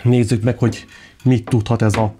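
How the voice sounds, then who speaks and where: A man presenting products without a script, often halting as he searches for words. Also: mid-range voice, little pitch movement, warm tone, slight background noise.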